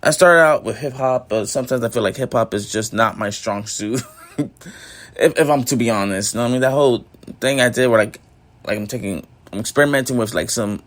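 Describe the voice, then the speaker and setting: Raspy young man rambling on a podcast. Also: medium pitch. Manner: rambling, filler-heavy, keeps saying "you know what I mean". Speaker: podcast host. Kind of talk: casual solo reflection.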